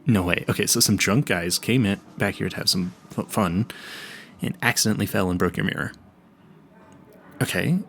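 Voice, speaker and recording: A man's voice: low-pitched, normal speed, vocal fry, long pauses, a bit bright, a little hiss or hum. A man playing an awkward, smitten young vampire hunter in a romantic audio roleplay, stammering nervously and apologizing politely. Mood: admiring, rambling.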